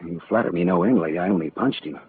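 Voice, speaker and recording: Low-voiced hard-boiled radio detective. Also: male voice, rapid-fire, breathy edge, heavily acted. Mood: relieved, wry. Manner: sardonic, clipped tough-guy delivery. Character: hard-boiled, wisecracking private detective, tough but decent. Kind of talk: 1940s radio noir acting and first-person narration. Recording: muffled old radio recording with music.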